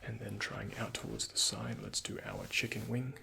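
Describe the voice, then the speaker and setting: A soft-spoken man with a breathy, often half-whispered voice, playing a doctor in an ASMR roleplay and giving gentle instructions and reassurance. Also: lowish voice, sing-song, normal speed, noisy background.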